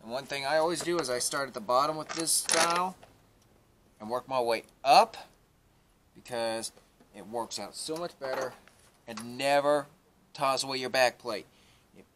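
A man's voice: mid-range voice, measured pace, long pauses, a bit bright. Folksy man in noisy outdoor audio. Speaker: DIY vlogger demonstrating an install. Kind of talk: casual hands-on tutorial talk to camera. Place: outdoors beside a pickup truck. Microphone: camera set up a short distance away.

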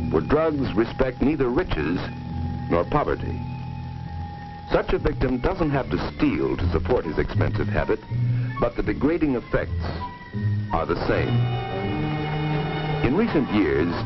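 A man reading a grave, authoritative scripted narration in the style of a 1950s educational film. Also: on the low side. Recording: dull old 1950s film soundtrack, music.

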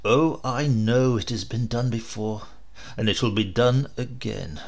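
Male reader as wounded gentleman in a storybook melodrama: impassioned, gravelly, volatile between hush and outburst. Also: mid-range voice, a little slow. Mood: anguished rage. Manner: impassioned, melodramatic, swinging from hushed to loud. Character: scorned English gentleman, raging and bitterly wounded. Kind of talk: dramatic storybook reading.

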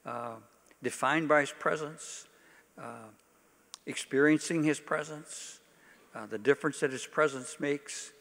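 A man's voice: fairly high pitch, measured pace, gravelly, fairly bright tone. An older man, a pastor, giving an informal church teaching talk to an audience with folksy, dry-humoured asides. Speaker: pastor leading a workshop. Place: large hall, speaking to a conference audience. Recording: reverberant hall, long echo.

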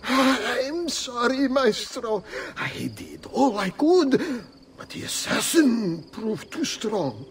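A man's voice: extremely high pitch, big pitch swings, slow pace, far from the mic, exaggerated voice. An actor playing a frightened, excuse-making older nobleman in a video game, defensive and pleading. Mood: apologetic. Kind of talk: dramatic video game acting.

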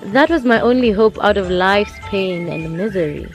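A woman's voice: medium pitch, moderate pace, faint music behind, natural voice. Weary, sorrowful mother acted by a woman. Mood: wistful. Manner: reflective, dramatic storytelling. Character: weary, sorrowful mother recalling a painful past. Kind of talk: radio drama acting.

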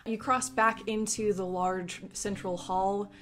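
A woman's voice: mid-range voice, steady tone, takes it slowly. A woman narrating a tabletop fantasy game as its game master. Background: background music.

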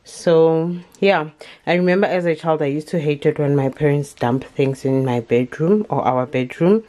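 A woman's voice: sits low, moderate pace. A woman, chatting casually in a home vlog with many ums. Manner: chatty, relaxed, frequent ums. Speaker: vlogger. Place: home kitchen, indoors.

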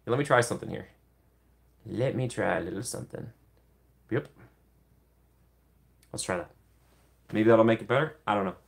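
A man's voice: on the low side, moderate pace, gravelly, pauses often. A young man in his twenties hosting a casual livestream from a small room, chatting and answering viewer comments. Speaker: livestream host.

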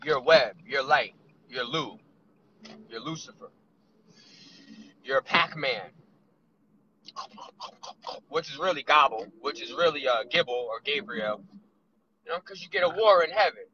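A man in his thirties vlogging from inside a car, musing loosely with drawn-out asides. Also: fairly high pitch, pushed and bright, slight background noise. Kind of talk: casual rambling monologue. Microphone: phone camera on dashboard. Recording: lo-fi phone audio.

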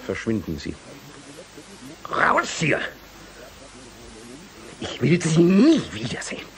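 An actor playing a haughty, condescending professor in a German radio play, easily indignant and pompous. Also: male voice, on the high side, wildly swooping pitch, takes it slowly, exaggerated voice, rough recording. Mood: outraged. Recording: faint hiss behind the voice.

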